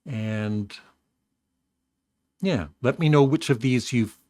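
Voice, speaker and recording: An older man from Canada, hosting his own show, chatting casually and rambling a little, his voice a bit rough today. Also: medium pitch, slow, no echo. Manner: rambling, self-correcting, warm chuckles. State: slightly rough voice. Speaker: host. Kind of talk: casual vlog talk.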